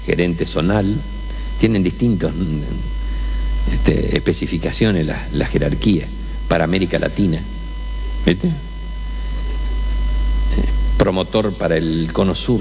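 A man with an Argentine accent tells a funny story in a chatty, colloquial way. His voice is deep and low, and it rises and falls a lot as he talks. A faint hum runs underneath. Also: a little mellow, poor audio. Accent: Argentine Spanish. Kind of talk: telling a humorous anecdote.

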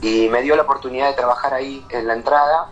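An Argentine man in his thirties, a painter answering interview questions casually, with hesitant pauses and restarts. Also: medium pitch, fairly even intonation, a bit bright, lo-fi sound, soft background music. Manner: hesitant, many fillers and restarts.

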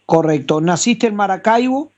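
A woman's voice: mid-range voice, lively intonation, normal speed, darkish tone. A woman with a Uruguayan accent hosting a radio show and interviewing a guest, on dull broadcast audio.

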